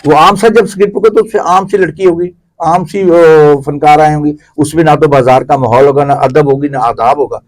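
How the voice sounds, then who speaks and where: A man's voice: a little high, few pauses. A man with a Pakistani accent explaining things conversationally as an interview guest, piling up examples and repetitions.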